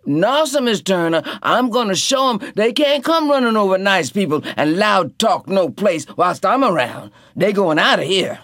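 Man doing a bossy young guy laying down the law, high and loud, lively. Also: normal speed, hardly any pauses, no echo, clean studio sound, heavily acted. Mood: commanding. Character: forceful young man defending a café owner.

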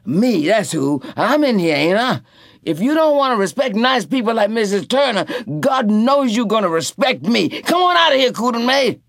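A man voicing a forceful young man who insists on throwing troublemakers out of a café. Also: very high-pitched, big pitch swings, normal speed, vocal fry, dry recording, clean studio sound, voice pushed up, exaggerated voice. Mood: commanding.

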